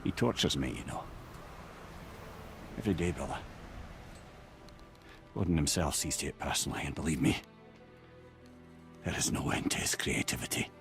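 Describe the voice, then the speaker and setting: An actor playing a talkative, witty, cocky captive sage in a fantasy game, speaking like a theatrical storyteller. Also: male voice, extremely low pitch, normal speed, gravelly, long pauses, soft background music. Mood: bitter, weary.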